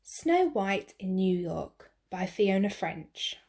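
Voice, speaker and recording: A woman teacher reading a picture book aloud to children, slow, clear and expressive. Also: medium pitch.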